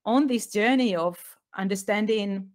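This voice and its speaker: A woman's voice: medium pitch, a little slow. Israeli-accented woman explaining earnestly. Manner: earnest, explanatory, with light laughs and slightly non-native phrasing. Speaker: guest, education author. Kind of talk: podcast interview.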